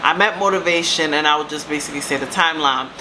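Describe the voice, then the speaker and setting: A woman in her thirties with a deep voice, telling a casual storytime to her viewers and calling them baby and girl. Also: fast. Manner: chatty, calls viewers baby and girl, lively asides. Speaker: storytime vlogger. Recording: steady air-conditioner hum behind.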